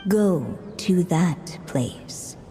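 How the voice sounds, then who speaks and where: A woman playing a calm, mysterious fairy-tale narrator in a video game, telling her story in measured, poetic phrases. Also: fairly low pitch. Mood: wistful, enigmatic. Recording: long echoing reverb, faint noise.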